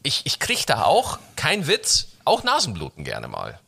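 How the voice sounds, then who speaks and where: A young man hosts a casual podcast chat and jokes around in slangy speech. His pitch swings widely and his tone is bright, though he has a cold. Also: a little slow, vocal fry. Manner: animated, jokey, slangy. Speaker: podcast host.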